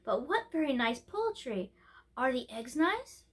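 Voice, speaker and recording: Lady doing a little princess kid from a storybook, high voice, chatty, super curious and eager. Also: big pitch swings, a little slow, fairly bright tone, husky, exaggerated voice. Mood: eager, delighted. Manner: eager, questioning, childlike.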